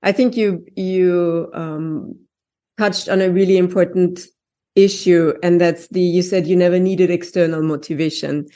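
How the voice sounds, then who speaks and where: A woman hosting a podcast on language, chatting casually and asking long, lively questions. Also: lowish voice, a little slow. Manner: lively, laughs often, asks long questions. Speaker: podcast host, linguist. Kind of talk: casual interview.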